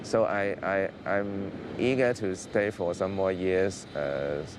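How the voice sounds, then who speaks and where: A male teacher with a Hong Kong accent, speaking haltingly in an interview, full of fillers and repeated words. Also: deep voice, background noise. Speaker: liberal studies teacher.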